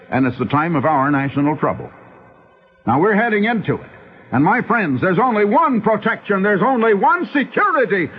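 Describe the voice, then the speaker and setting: A man preaching an urgent radio sermon and reading scripture, his voice swinging between emphatic high peaks and low, quiet asides. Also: slight background noise. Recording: narrow tinny old radio broadcast.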